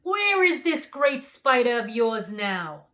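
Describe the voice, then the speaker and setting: A woman's voice: a little high, big pitch swings, slow, sharp tone, full character voice. A woman voicing a big, confident, cheerful male lion in a children's storybook, speaking emphatically. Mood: confident, questioning.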